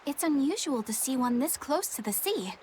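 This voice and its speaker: A woman's voice: high voice, very animated, normal speed, a little mellow, slight background noise. A woman playing a prim, serious young woman in an English game dub, earnest, proper and drily disapproving.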